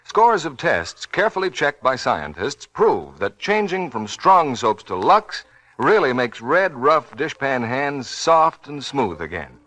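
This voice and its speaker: American male announcer, 1940s radio sales read, lively intonation, medium pitch. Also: measured pace, no room sound.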